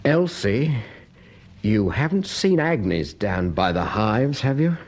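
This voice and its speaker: Middle-aged British husband, exasperated, earnest, lively swooping intonation, mid-pitched, radio play. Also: male voice, takes it slowly, full character voice. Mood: anxious. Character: put-upon beekeeping husband, recounting events with grievance. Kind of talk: radio drama acting and first-person narration.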